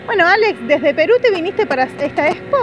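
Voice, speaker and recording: A woman's voice: extremely high pitch, animated, fairly quick, low-quality recording. A woman with an Argentine accent working as a reporter, asking short casual questions on the spot. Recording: very loud music and crowd behind. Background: loud music and crowd.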